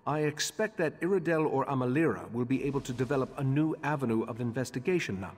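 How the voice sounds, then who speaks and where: A man playing a grave, scholarly leader of a mage order in a video game, speaking in a measured, formal way. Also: medium pitch, slightly rough, natural voice. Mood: grave, pondering. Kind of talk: scripted video game character dialogue. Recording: long echoing hall reverb.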